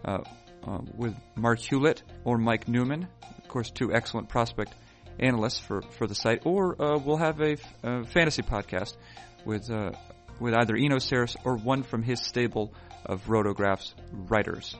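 A man's voice: medium pitch, moderate pace, hardly any pauses, soft background music. Sleepy wry man hosting a podcast. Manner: wry, hesitant, full of uh fillers. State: sleepy, early morning. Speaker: podcast host. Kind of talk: rambling podcast intro and chat. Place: hotel room.

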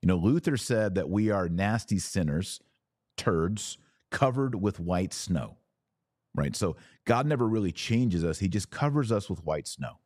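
A man with a deep voice hosts a religious commentary podcast. He talks informally and with emphasis, explaining points and often checking that the listener follows. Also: animated, a little slow, darkish tone, no room sound, clean studio sound. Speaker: podcast host.

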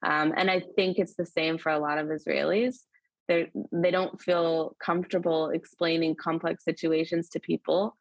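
A woman's voice: low-pitched, little pitch movement, moderate pace, no room sound, poor audio. Confident woman in a dry close recording. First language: English. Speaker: social media and PR expert guest. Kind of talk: podcast conversation.

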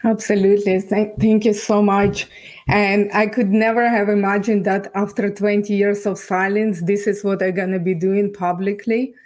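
Even-toned woman with a Ukrainian accent. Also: mid-range voice, moderate pace. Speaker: podcast guest. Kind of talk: personal testimony storytelling.

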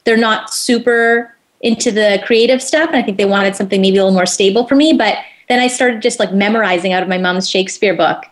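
A young North American woman talks casually as a guest in an interview. Her voice sits at a middle pitch, and her phrasing is chatty and informal, sprinkled with filler "like". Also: brisk, hardly any pauses. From Winnipeg, Canada. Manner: chatty, informal, uses "like" often. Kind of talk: casual chat.

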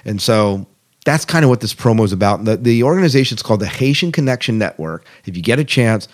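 A man's voice: fairly low pitch, lively intonation, fast. A man hosting a podcast in a casual style, chatting and reading out news with conversational asides. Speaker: podcast host.

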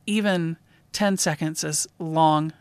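Woman with a clear, close-sounding voice. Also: sits low, steady tone, slow, darkish tone, no room sound. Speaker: police dispatcher and show host. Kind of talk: conversational case commentary.

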